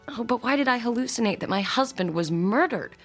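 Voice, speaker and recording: A distraught wife in a radio drama, with a husky, breathy voice. She confides haltingly and anxiously, as if unsure of her own mind, with faint music behind her. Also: fairly high pitch. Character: distraught married woman confiding a strange experience to psychiatrist.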